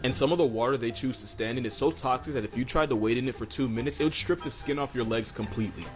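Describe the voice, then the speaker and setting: A man's voice: medium pitch, fast. A man delivering comedic scripted narration about animals, with a wry, slangy, sarcastic manner. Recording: music behind the voice. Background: background music.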